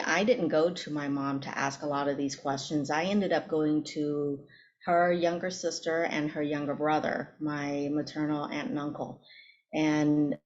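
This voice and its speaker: A woman author on a panel, speaking in a measured, steady way. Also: deep voice, highs cut off. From Vietnamese-American. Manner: measured, steady, some ums. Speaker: author guest. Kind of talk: panel discussion.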